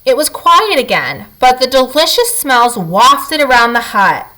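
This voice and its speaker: A woman reading a children's picture book aloud as a storyteller, with expressive, dramatic emphasis for young listeners. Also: high voice, measured pace, low-quality recording.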